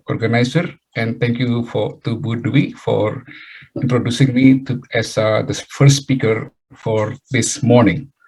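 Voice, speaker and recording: A middle-aged man with an Indonesian accent giving a webinar lecture over a video call, halting, with many fillers and restarts. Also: medium pitch, darkish tone, slightly rough, low-quality recording. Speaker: guest speaker, chemical engineering professor.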